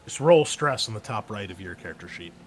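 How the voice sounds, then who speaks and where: A man's voice: fairly low pitch, animated, fairly quick, slight background noise. A man running a tabletop roleplaying game as game master, amused and easygoing, explaining rules with many fillers.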